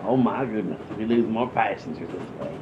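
Man playing a deadpan train conductor, muffled. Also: on the low side, normal speed, close to an everyday voice. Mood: mildly exasperated. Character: polite, unflappable male train conductor, deadpan. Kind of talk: radio comedy acting. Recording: muffled, dull podcast recording.

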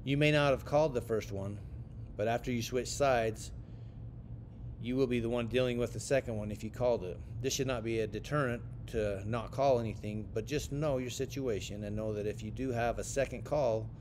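An American man giving scripted training narration as an instructor for sports officials, in a measured, instructional way. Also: a little mellow. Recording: clear rumbling background noise.

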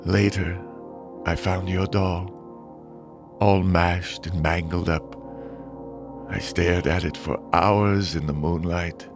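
A man playing a sinister, brooding middle-aged criminal mastermind, telling his dark memories in a deliberate, theatrical, menacing way for a motion comic. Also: sits low, fairly even intonation, music in the background. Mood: brooding, morbid. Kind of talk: dramatic comic-book monologue.

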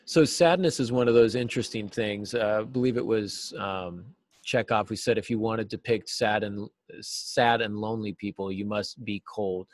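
A man in his forties giving earnest, explanatory answers as an interview guest, stressing key words deliberately. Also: on the low side, dry recording. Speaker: writing teacher and novelist, interview guest. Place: small cluttered office, video call.

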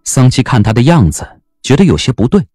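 A man narrating a historical romance audio drama as an expressive storyteller. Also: mid-range voice, brisk, breathy edge, no room sound, soft background music.

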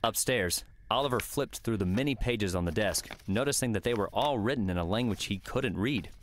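Low voiced guy narrating an audiobook, scripted story reading. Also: a little fast, a little hiss or hum.

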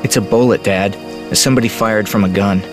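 An actor playing a troubled, earnest young farm son with terse, folksy speech in an audio drama. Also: male voice, fairly low pitch, fairly even intonation, fairly quick, natural voice. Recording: music underneath.

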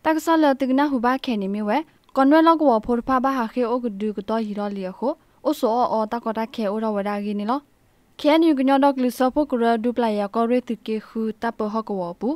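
A woman with a fairly high voice reads scripted radio news. The sound is fairly dry, with little room around her, as a news presenter would have in a studio. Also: brisk, a little mellow, no echo.

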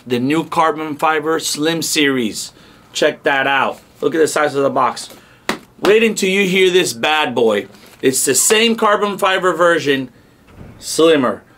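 Guy in his 30s doing an unboxing, kinda high voice, upbeat and informal, noisy background. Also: a bit bright. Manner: enthusiastic, informal, punchy short phrases. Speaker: product demo host. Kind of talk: casual unboxing demo to camera. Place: indoor car audio showroom. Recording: clear background noise.

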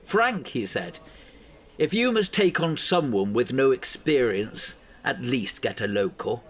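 An actor playing a middle-aged, supportive local newspaper editor in an audio drama. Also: male voice, mid-range voice, expressive, a little slow, breathy edge. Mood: encouraging, chatty.

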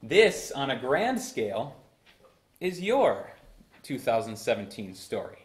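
Young pastor preaching in an echoey church. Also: male voice, mid-range voice, sing-song. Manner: preaching with swells of emphasis and slow drawn-out phrases. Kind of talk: sermon. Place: church sanctuary at a pulpit. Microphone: far camera in a roomy church.

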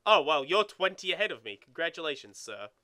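A man co-hosting a let's play with casual, animated, sweary gaming commentary. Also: fairly high pitch, fairly quick.

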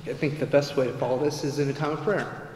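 A man leading a congregation into prayer time, unscripted and halting with fillers. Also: medium pitch, steady tone. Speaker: pastor. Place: large church sanctuary. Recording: echoey church from afar, faint noise.

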